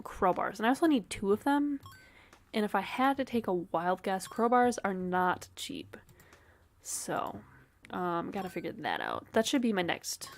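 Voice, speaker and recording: A woman streamer with a sniffly, dry throat, casually thinking aloud and swearing while she plays a game. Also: medium pitch. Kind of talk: casual game livestream commentary.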